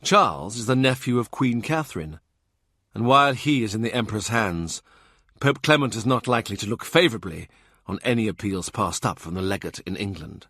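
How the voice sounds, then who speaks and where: A man narrating a historical novel as an audiobook in a measured, even-toned reading. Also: lowish voice, no room sound, studio quality.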